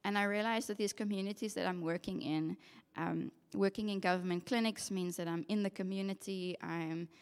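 A young woman with a South African English accent tells her personal story to a congregation, earnest and reflective, often pausing on "um" and "yeah". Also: medium pitch, fairly even intonation, clear, resonant tone. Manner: earnest, reflective storytelling with frequent ums and yeahs. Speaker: occupational therapist giving a testimony. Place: church hall with a masked audience. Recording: long hall reverb.

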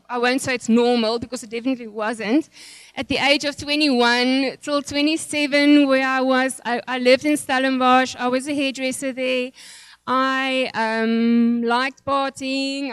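Nervous South African woman, high dry voice. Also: fairly bright tone, clear, resonant tone, no room sound. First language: Afrikaans. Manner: nervous, emotional, full of fillers and restarts. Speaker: testimony speaker at church. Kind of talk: personal testimony and prayer.

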